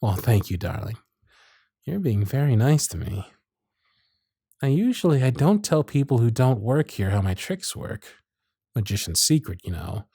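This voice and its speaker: Casting: male actor as charming lovestruck circus magician, ASMR romance. Medium-pitched, breathy, soft-spoken, tender, quietly anxious. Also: moderate pace, long pauses, dry recording, clean studio sound, exaggerated voice. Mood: warm, charming. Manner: soft-spoken, flattering, tender, with hushed asides. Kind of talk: ASMR romance roleplay with intimate inner monologue.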